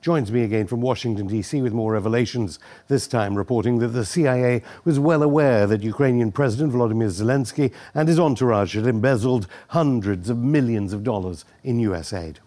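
A man's voice: medium pitch, fairly quick, few pauses, dark tone. A man hosting a news interview, moving between scripted introduction and questions to his guest. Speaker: interviewer, host.

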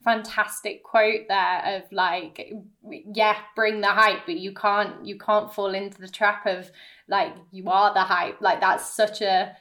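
A woman's voice: medium pitch, level tone, slow, pushed and bright. A woman hosting a casual interview in an effusive, enthusiastic way. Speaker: podcast host.

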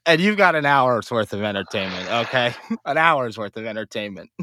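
A man's voice: mid-range voice, very animated, normal speed, sharp tone, no echo. A man co-hosting a podcast who teases his partner and laughs often in casual banter. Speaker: podcast co-host.

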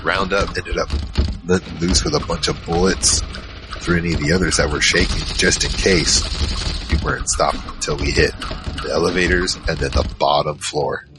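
An actor playing a huge, shaken survivor recounting a monster battle in a halting first-person audio drama narration. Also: male voice, fairly even intonation. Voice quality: very low, heavy voice. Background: music.

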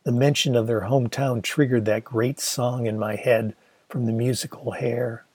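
A man's voice: medium pitch, fairly even intonation, normal speed, a little mellow, husky, no room sound. A man in his sixties from Michigan, reading his own memoir aloud in a wry, conversational storytelling manner. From Michigan, USA. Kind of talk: audiobook narration.

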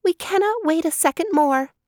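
A woman voicing a small, kind female frog with a bit of magic, speaking gently. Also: extremely high pitch, animated, normal speed, no echo, clean studio sound, voice pushed up. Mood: gentle, kind.

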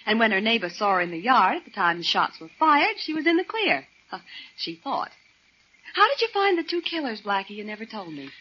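A woman's voice: fairly high pitch, big pitch swings, brisk. An actress playing a detective's playful, teasing girlfriend with a coy manner, in 1940s radio drama style. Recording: muffled, noisy 1940s radio recording.

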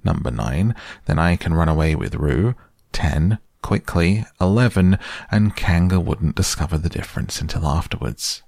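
Man voicing a bossy, gravelly storybook rabbit, Rabbit. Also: low-pitched, lively intonation, darkish tone, no room sound, clean studio sound, full character voice. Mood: proud. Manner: brisk, instructing. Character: brisk, bossy, scheming male rabbit.

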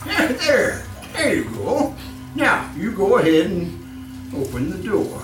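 Gleeful, swooping sinister old killer. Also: male voice, high-pitched, wildly swooping pitch, slow, exaggerated voice. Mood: sly, fussing. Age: older. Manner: stammering, chuckling, mock-courteous. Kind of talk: live old-time radio horror acting. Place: live stage performance. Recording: roomy, distant live stage with music.

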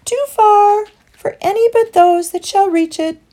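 A woman voicing a gentle female turtle dove in a storybook, cooing and cryptic. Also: very high voice, measured pace, voice pushed up. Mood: serene welcome. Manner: cooing, riddling.

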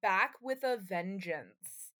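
A woman's voice: medium pitch, expressive, slow pace, a bit bright. A woman co-hosting a podcast in casual, sweary chat, with a wry, self-deprecating and playfully dramatic manner. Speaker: podcast co-host.

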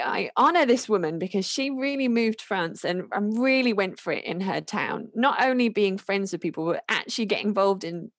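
A woman's voice: medium pitch, no room sound. Hesitant, praising woman in a dry room. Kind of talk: casual podcast conversation.

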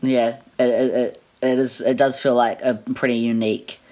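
Young man rambling casually, mid-pitched voice. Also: level tone, normal speed, dark tone. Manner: loose, trailing off, repeating words. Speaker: interviewer and flatmate. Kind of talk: casual interview chat. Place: shared flat at home.

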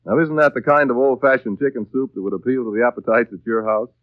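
A man's voice: medium pitch, quick pace, dry recording. A man announcing and selling in polished, emphatic, folksy 1930s radio advertisement style. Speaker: radio announcer and sponsor pitchman. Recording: dull vintage 1930s radio recording.

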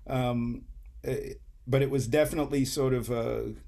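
A middle-aged man from Saint Kitts, a guest musician talking in a relaxed interview, rambling and restarting, often laughing. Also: mid-range voice, normal speed, gravelly.

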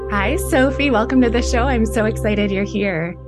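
A woman's voice: on the high side, moderate pace, music in the background. Bubbly Wisconsin woman hosting a podcast. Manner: bubbly, laughs often. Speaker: podcast host. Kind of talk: upbeat podcast interview.

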